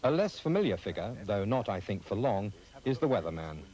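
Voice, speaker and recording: A man's voice: lowish voice, very animated, fairly quick, darkish tone, poor audio. A British man reading scripted narration as a television reporter.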